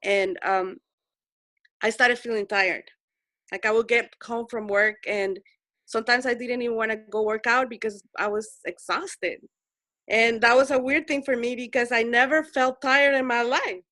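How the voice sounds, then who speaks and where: Earnest forties woman on a video call, fairly high and bright voice, dry close sound. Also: steady tone, moderate pace, no echo. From El Salvador. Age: 40s. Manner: earnest, repeats herself for emphasis. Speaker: Zoom meeting participant. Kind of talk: personal story in group video chat.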